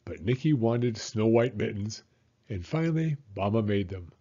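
Expressive male storyteller, mellow and slightly husky. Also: fairly low pitch, normal speed, lo-fi sound. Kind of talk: children's picture book read-aloud.

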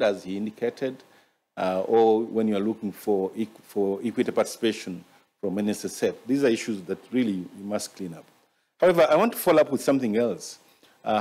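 A man, moderating a panel with halting, deliberate comments and questions. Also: on the low side, darkish tone. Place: conference stage, indoors. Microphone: gooseneck table microphone.

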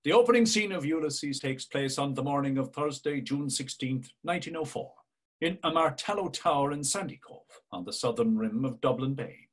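An older man on a video call giving calm, scripted narration. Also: mid-range voice, normal speed. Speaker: actor reading aloud. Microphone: webcam on video call.

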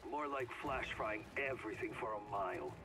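An actor playing a gruff, plain-spoken war comrade in a video game, blunt and colloquial. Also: male voice, fairly low pitch, moderate pace, recorded from a distance, natural voice. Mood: blunt, urgent. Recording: clear game noise behind.

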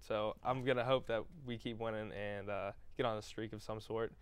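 A man's voice: on the low side, steady tone, moderate pace, clean tone, no room sound. A young man who plays college baseball, giving plain, modest interview answers. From Pennsylvania. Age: young adult.

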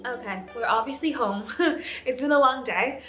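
A young woman in her twenties chatting casually to camera in a vlog, animated and laughing often. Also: high voice, moderate pace, reverberant, loud music behind the voice. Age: 20s. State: hoarse, losing her voice. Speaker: vlogger, law student. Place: apartment bedroom, indoors.

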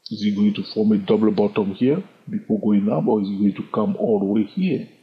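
A man teaching a chart lesson over his screen, animated and full of rhetorical questions, chuckling now and then. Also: fairly low pitch, brisk, husky. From lives in London. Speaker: trading educator. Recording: dull lo-fi sound.

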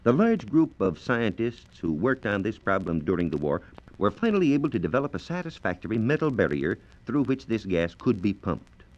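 Low-voiced American 1940s radio science presenter, man. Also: very animated, normal speed, darkish tone. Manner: formal, carefully phrased, explanatory. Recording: dull lo-fi 1940s radio transcription disc.

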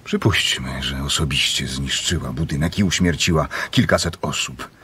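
An actor playing a calm, probing doctor in a radio play, questioning in a measured, deliberate way. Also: male voice, extremely low pitch, a little hiss or hum. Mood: probing, intent. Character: calm, probing male doctor, quietly persuasive. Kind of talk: Polish radio play acting.

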